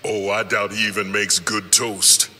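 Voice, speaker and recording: An actor playing a confident, dry-witted superhero in a video game, cool and self-assured. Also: male voice, fairly low pitch, level tone, a little slow, husky, heavily acted. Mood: cool confidence. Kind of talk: video game character acting. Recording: echoey, distant, loud battle noise.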